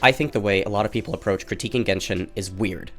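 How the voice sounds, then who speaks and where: A man reading a scripted critique video in a measured, careful way, sounding nasal while recovering from an illness. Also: fairly low pitch, music in the background. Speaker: video essayist. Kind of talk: scripted commentary.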